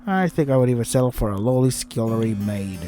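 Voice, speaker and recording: A man voicing a sweet, pleading young princess in visual novel dialogue, soft-spoken and coaxing. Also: mid-range voice, animated, fast, faint music behind, lightly acted. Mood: wistful.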